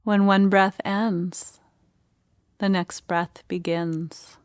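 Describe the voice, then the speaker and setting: A woman leading a guided meditation, speaking in short, gentle phrases with unhurried pauses. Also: mid-range voice, little pitch movement, no room sound, clean studio sound.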